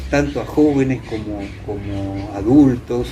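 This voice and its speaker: Older Argentine man, mellow and halting. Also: medium pitch. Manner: halting, with repeated words and emphatic repetition. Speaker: interview guest, theater and singing teacher. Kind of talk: reminiscing in an informal interview. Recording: clear background noise, ambient room sound.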